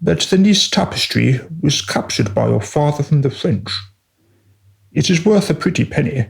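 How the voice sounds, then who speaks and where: An actor playing a deferential, long-suffering royal adviser in a comic radio play, formal and hesitant with dry disapproval. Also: male voice, fairly high pitch, animated, normal speed, vocal fry. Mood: tactful, exasperated.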